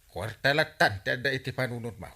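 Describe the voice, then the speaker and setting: A man acting as a bossy, excitable village drinking buddy in an Ilocano comedy radio drama, needling and full of exclamations. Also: mid-range voice, brisk, creaky. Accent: Filipino, Ilocano.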